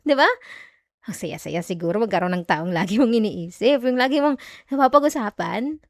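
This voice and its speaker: A woman's voice: medium pitch, expressive, moderate pace, no room sound, clean studio sound, close to an everyday voice. A woman with a Filipino accent playing a jealous, hurt young girlfriend in an emotional, sarcastic audio roleplay. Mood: bitter, sarcastic. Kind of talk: Tagalog ASMR girlfriend roleplay, acted monologue.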